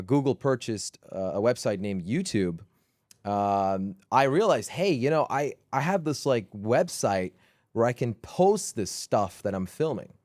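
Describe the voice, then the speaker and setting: A Dutch man in his thirties, a gaming creator chatting casually as a podcast guest, relaxed with lots of fillers. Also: mid-range voice, no echo, clean studio sound. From southern Netherlands.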